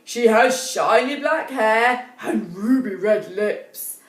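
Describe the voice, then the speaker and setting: A woman's voice: a little high, slow. A woman voicing a small, innocent youngest dwarf, eager and childlike, in a children's story. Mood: admiring, eager. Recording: roomy, distant microphone.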